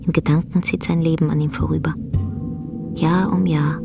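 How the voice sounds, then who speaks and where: An actress as a grave, contemplative storyteller, narrating a radio play in a husky, breathy voice. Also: female voice, low voice, level tone, moderate pace, music in the background. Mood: grave, reflective.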